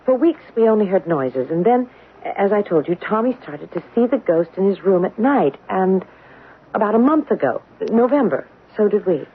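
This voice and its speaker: A breathy frightened young mother. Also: medium pitch, lively intonation, normal speed. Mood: scared. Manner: nervous, hesitant with stammers. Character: anxious young mother in haunted house. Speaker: frightened homeowner. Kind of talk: 1970s radio drama acting. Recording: narrow-band old radio broadcast.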